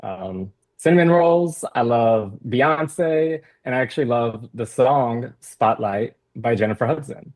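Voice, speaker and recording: A man's voice: mid-range voice, measured pace, few pauses, darkish tone. A nervous man in his thirties introducing himself casually as a contestant.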